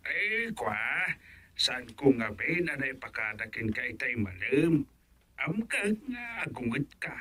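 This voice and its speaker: Guy playing shy giddy village councilor, ilocano accent, slow n stammery, radio comedy. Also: medium pitch, very animated, fairly bright tone, breathy edge, exaggerated voice. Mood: sheepish. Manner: halting, stammering, chuckling. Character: laughing, bashful village councilor, giddy about marrying. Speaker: actor in radio comedy drama. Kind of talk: Filipino radio drama comedy acting.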